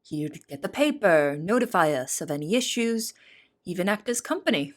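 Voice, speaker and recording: A woman's voice: fairly low pitch, big pitch swings, normal speed. A woman playing an earnest young cook in an audio drama, narrating in formal, literary wording. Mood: polite, eager. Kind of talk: audio drama first-person narration and dialogue.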